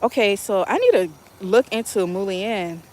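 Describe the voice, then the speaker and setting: A woman's voice: mid-range voice, animated, moderate pace, darkish tone, rough recording. A talkative woman chats casually to her viewers while she waters her garden outdoors. She speaks into a phone, laughs often and talks to her audience like friends. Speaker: gardening vlogger.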